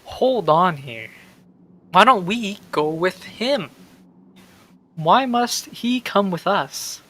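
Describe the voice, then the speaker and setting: A man's voice: sits high, expressive, slow, pushed and bright. A young man doing casual gameplay commentary, reacting with incredulous, blunt exclamations. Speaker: video game streamer.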